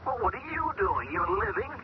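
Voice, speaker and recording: An actor playing a man taken over by an alien mind, who talks calmly and measures his words in an old radio drama. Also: high voice. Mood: eerie. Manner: calm, measured, searching for words. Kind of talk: 1970s radio drama acting. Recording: dull old radio broadcast with loud hiss.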